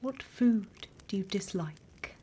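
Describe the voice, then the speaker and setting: A British woman whispering in an ASMR roleplay as a stern, dry demon instructor, breathy and close to the microphone. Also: mid-range voice, expressive, warm tone. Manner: slow, deliberate, dry instructing. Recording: close whisper with background hiss.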